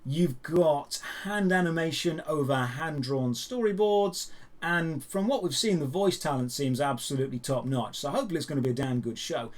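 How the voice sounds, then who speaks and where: A man's voice: on the high side, normal speed. A man hosting an opinionated geek and gaming news show, talking in a conversational, emphatic way with rhetorical asides. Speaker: YouTube host presenting geek and gaming news. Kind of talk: opinionated news commentary to camera.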